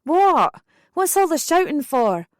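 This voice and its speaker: Woman as a brisk mother, raised pitch, calling out loudly, demanding, audiobook character. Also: big pitch swings, no room sound, studio quality, full character voice. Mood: annoyed, demanding.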